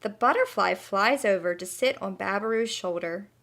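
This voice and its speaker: A woman's voice: medium pitch, moderate pace, a bit bright. A woman narrating a children's story expressively, speaking directly to young listeners as a storyteller. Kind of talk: animated scripted story narration.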